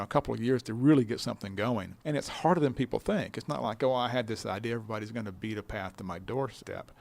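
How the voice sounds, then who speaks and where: A man's voice: medium pitch, creaky, a little mellow. A man speaking conversationally as an interviewed director, explaining things in a folksy, stop-and-start way. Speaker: business incubator director being interviewed.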